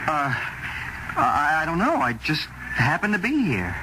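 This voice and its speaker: Earnest loyal agent buddy, old radio drama, slow emphatic talk, animated, noisy recording. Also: male voice, a little high. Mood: alarmed. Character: earnest, devoted male literary agent and friend. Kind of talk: radio suspense drama acting.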